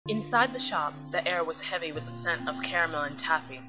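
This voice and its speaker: A woman giving scripted but casual fan commentary in a blunt, slangy, opinionated way, with animated delivery. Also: fairly low pitch, a little fast, over music. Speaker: fan video series host. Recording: slightly dull lo-fi recording.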